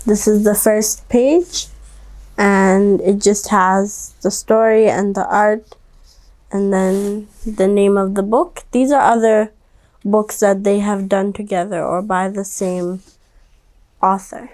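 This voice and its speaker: A woman hosting a children's read-aloud, speaking directly to young listeners in a gently instructive way. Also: medium pitch, fairly even intonation, slow pace. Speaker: storybook reader and host.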